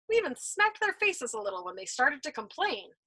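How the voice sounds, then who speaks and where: Smug pudgy airman guy, loud and bragging, bright voice , read by a woman. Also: high-pitched, very animated, fairly quick, exaggerated voice. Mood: nostalgic. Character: smug, pudgy young male airman, boastful.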